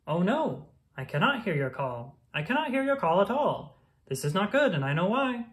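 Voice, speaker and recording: A woman's voice: mid-range voice, very animated, normal speed, voice pushed up, close to an everyday voice. A woman voicing a storybook creature calling out anxiously on a telephone, with exclaiming delivery. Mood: dismayed.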